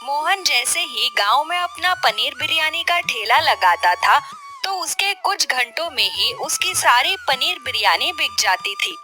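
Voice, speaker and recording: Woman narrating a kids story, high animated voice, music in the back. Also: measured pace. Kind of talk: scripted children's story narration.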